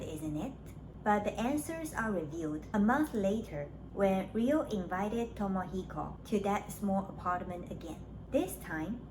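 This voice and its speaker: A woman narrating a scripted summary of a story, as a book reviewer does. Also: mid-range voice. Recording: clearly noisy background.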